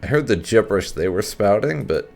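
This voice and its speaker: Male gaming host, deep creaky voice, unhurried, wry musing, swinging intonation, game music behind. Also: very animated, a little mellow. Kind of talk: roleplay playthrough commentary.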